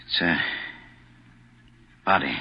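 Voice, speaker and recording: An actor playing a solemn, matter-of-fact police officer in a 1940s radio thriller, plain-spoken. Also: male voice, slow pace, natural voice. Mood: grim, sympathetic. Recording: muffled 1940s radio broadcast, music behind.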